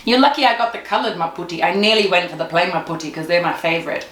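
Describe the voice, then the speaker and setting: A woman's voice: on the low side, lively intonation, fast talker, a bit bright. Zimbabwean lady, chatty and giggly, roomy echo, faint hiss. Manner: chatty, laughs often, nostalgic. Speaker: vlogger. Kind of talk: casual chat. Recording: echoey room, faint noise.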